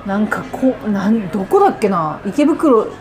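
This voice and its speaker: A woman co-hosting a podcast in casual, chatty, expressive conversation. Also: mid-range voice, normal speed. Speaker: podcast host. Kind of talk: casual chat. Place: busy oyster eatery. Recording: lively crowd chatter behind. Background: restaurant crowd chatter.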